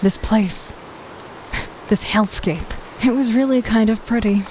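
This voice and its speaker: A woman's voice: fairly high pitch, measured pace, a little mellow, slight background noise, poor audio. A woman narrating a survival audio drama in the first person with a dry, sardonic and commanding manner. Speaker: narrator and lead character. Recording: background music.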